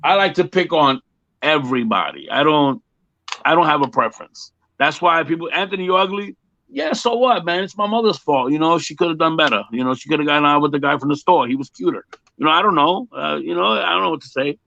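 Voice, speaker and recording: A man's voice: on the high side, animated, a little fast, creaky, bright, forceful tone, dry recording. A man in his fifties, a music performer chatting casually in an interview, joking and repeating himself emphatically on muffled lo-fi audio. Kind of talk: casual one-on-one chat.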